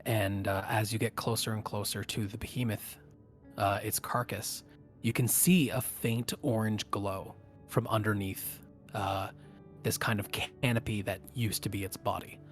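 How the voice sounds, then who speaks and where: A man narrating and refereeing a tabletop fantasy game as game master, describing things in an improvised, halting way. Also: on the low side, fairly even intonation, soft background music.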